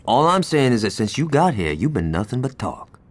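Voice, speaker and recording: Mouthy, husky young gangster. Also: male voice, medium pitch, very animated, a little fast. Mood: brash. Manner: brash, mouthy, sarcastic. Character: hot-headed young male gang member, eager for a fight. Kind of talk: video game cutscene acting.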